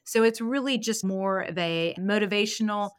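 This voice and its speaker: A woman's voice: medium pitch, moderate pace, smooth, dry recording. Candid middle-aged woman, dry close recording. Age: 49, turning fifty next month. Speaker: podcast guest, business consultant and strategic planner. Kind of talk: conversational interview answers.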